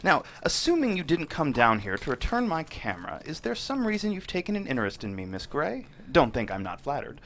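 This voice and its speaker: A man's voice: fairly high pitch, wildly swooping pitch, brisk, hardly any pauses, fairly bright tone, heavily acted. Guy acting a creepy polite killer, condescending n insinuating, voice swings around a lot, bit of room noise. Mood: smooth, condescending. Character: menacing male killer hiding behind smooth civility. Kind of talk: screenplay table read acting.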